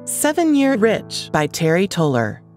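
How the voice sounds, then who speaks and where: Female promo announcer, scripted and expressive, mid-pitched, over light music. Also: unhurried. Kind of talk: scripted book promo narration. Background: faint instrumental music.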